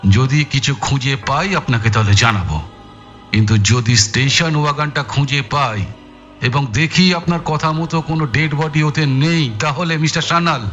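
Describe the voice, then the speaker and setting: Man acting a bright, forceful, weary police detective. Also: medium pitch, normal speed, lo-fi sound, faint music behind, natural voice. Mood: exasperated, threatening. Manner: gruff, condescending, punctuating with weary sighs. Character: tired, irritable, sarcastic male police detective officer. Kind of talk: Bengali audio thriller acting.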